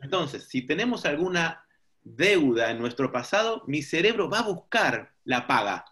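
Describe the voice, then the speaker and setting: Guy with a slightly high voice giving an informal group talk, reflective, keeps asking rhetorical questions. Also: big pitch swings, normal speed, a bit bright. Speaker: seminar teacher.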